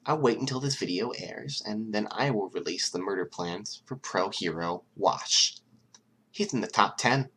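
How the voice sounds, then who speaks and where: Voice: mid-range voice, moderate pace, fairly bright tone, a little hiss or hum, lightly acted. A reader voicing a young male villain strategist who taunts his audience with mock cheer and cold menace. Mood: taunting, confident. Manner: mock-cheerful, taunting, turning deadly serious.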